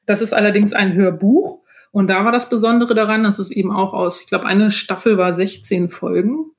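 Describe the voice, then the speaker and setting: A woman's voice: mid-range voice, fairly even intonation, normal speed. Casual woman guest, an author. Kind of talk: casual interview talk.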